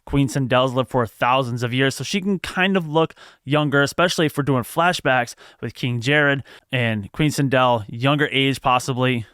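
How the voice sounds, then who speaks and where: Male video host, conversational and opinionated, smooth clear voice, dry close sound. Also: medium pitch, fairly bright tone, no echo. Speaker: video host giving movie news. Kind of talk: casual news commentary with opinions.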